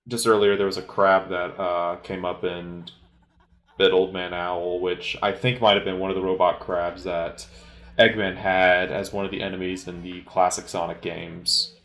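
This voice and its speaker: A man giving an informal solo movie commentary in a halting, rambling, hesitant way. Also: low voice, flat delivery, a bit bright, echoey room. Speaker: film commentator.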